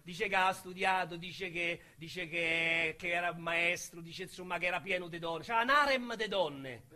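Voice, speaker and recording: A foul-mouthed Roman suburb youth. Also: male voice, sits high, normal speed. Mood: mocking. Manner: crude slangy storytelling with sudden shouted outbursts. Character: coarse young man from the outskirts, bitter and foul-mouthed. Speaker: narrator of a radio monologue. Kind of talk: radio drama monologue.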